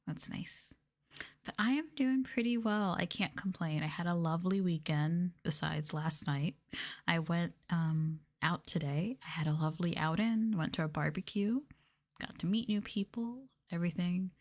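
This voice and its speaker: Female ASMR streamer: hushed, gentle chat that drifts between soft voice and whisper, close-miked. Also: on the low side, normal speed. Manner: gentle hushed delivery, often slipping into whisper. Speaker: streamer host of an ASMR livestream. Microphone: close microphone for headphone listening.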